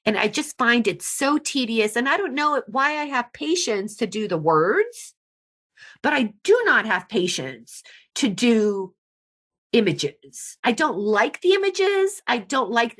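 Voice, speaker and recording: A woman's voice: on the high side, sing-song, unhurried, a bit bright. A woman guest on an interview podcast, talking casually and frankly, leaning on repeated words for emphasis.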